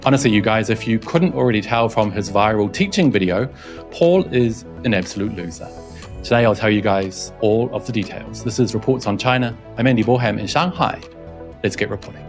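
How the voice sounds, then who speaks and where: A man's voice: lowish voice, a little fast, faint music behind. A young man hosting a scripted news commentary, mocking and scornful in tone. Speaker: host, reporter. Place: Shanghai.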